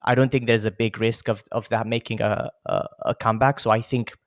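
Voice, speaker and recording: Low-voiced chatty man in his thirties. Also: steady tone, fairly quick, no echo, studio quality. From Romania. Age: 30s. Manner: chatty, lots of "like" and "you know" fillers. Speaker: podcast host. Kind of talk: casual conversation.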